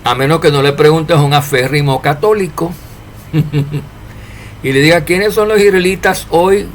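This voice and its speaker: A man preaching an informal sermon to his followers, asking rhetorical questions and swinging between loud and soft. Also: fairly high pitch, unhurried, a little hiss or hum. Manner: preachy, rhetorical questions, animated rises and drops in loudness.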